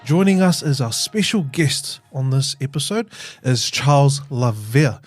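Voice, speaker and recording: A man hosting a podcast interview, affirming his guest warmly and steering the talk with questions. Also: medium pitch, a little slow, soft background music. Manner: warm and encouraging, with frequent affirmations. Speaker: podcast host.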